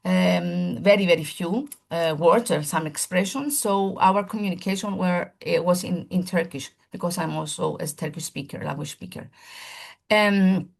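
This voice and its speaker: Woman with a creaky voice presenting a seminar. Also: on the low side, normal speed. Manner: earnest and searching for words, with frequent restarts; formal lecture phrasing with emphatic lists. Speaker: primary school teacher presenting a seminar.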